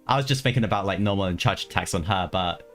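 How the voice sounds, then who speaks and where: A man's voice: low-pitched, quick pace. A man giving casual, slangy live gameplay commentary as a streamer, grumbling and talking back to the game. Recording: game music behind the voice.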